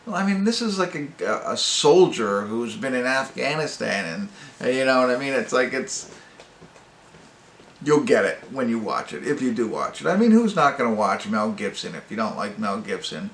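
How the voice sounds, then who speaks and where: Hesitant man with a gravelly, creaky voice. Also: medium pitch, big pitch swings, moderate pace, a bit bright. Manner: hesitant, rambling, searching for words. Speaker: amateur movie reviewer and host. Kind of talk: casual off-the-cuff movie review. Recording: roomy echo, faint background noise.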